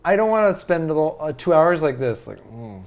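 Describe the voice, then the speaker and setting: A man's voice: a little high, big pitch swings, fairly quick. A man on a film podcast panel, talking casually and sharing his tastes.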